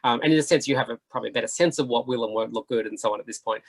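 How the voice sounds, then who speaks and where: A man speaking conversationally as a panelist in a casual discussion, animated and often checking in with the listener. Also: mid-range voice, racing, creaky, slightly rough.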